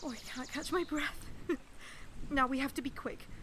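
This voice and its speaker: A woman's voice: high voice, moderate pace, noisy background, natural voice. An actress playing an eager, nervous young woman in an audio drama, speaking in a breathless, gushing, urgent way. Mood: anxious, hurried. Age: young adult.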